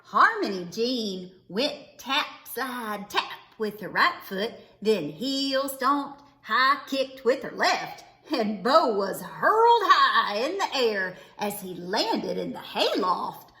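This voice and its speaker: Woman, children's read-aloud narration, high lively voice, dramatic emphasis, roomy echo. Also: slow, hardly any pauses. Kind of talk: expressive storybook narration. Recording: echoey, roomy sound.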